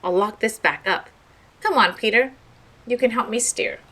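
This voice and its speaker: A woman's voice: a little high, moderate pace, sharp tone, pitched above the performer's own voice. A woman voicing a hearty, proud male sea captain in a storybook, explaining things with emphasis.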